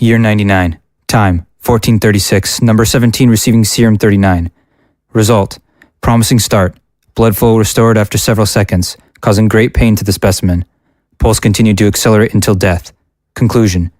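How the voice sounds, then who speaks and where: An actor playing a calm lab doctor who dictates notes and reasons with his colleague, in a radio drama. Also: on the low side, a little fast, no echo, natural voice. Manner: steady, reasonable. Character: calm, level-headed male lab doctor. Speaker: scientist.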